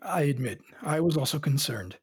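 A woman acting as a blunt, scheming sister in a sci-fi audio drama, with a raspy voice and dry manner. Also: sits low, lively intonation, moderate pace, full character voice. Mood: dry, matter-of-fact.

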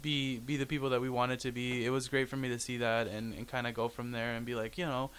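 A man's voice: medium pitch, flat delivery, fairly bright tone, clean tone. Earnest young male soccer coach. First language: Spanish. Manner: earnest, halting, repeats words. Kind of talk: interview guest.

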